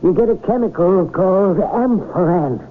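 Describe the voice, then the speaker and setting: An actor playing an earnest, alarmed former research chief giving insistent, ominous warnings in an old-time radio drama. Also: male voice, sits high, animated, normal speed, slight background noise. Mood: ominous, agitated. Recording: muffled old radio broadcast recording.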